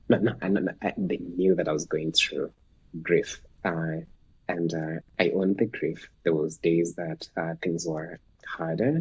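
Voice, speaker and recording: A man from Kenya, Luo his first language, talks in a low, very raspy voice. He reflects thoughtfully, stopping and restarting with fillers. The sound is dry and close, with no room around it. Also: a little mellow, dry recording, highs cut off. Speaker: guest, startup founder. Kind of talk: reflective podcast interview.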